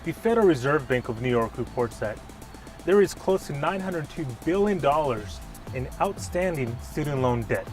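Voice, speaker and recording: A man, a financial planner, presenting a scripted explainer in an upbeat, clear way. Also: on the high side, lively intonation, moderate pace, a little mellow, soft background music.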